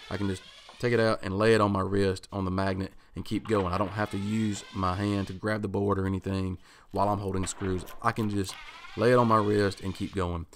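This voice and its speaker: Man in his thirties, lowish voice, relaxed workshop vlog voice-over, thinking aloud, faint hiss behind. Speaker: woodworking channel host. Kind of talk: casual voice-over explaining a project.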